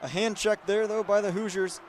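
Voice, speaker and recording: High-pitched young man calling basketball. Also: steady tone, normal speed, some chatter behind, a little hiss or hum. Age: 20s. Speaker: student sports broadcaster and reporter. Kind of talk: radio play-by-play commentary. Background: basketball game ambience.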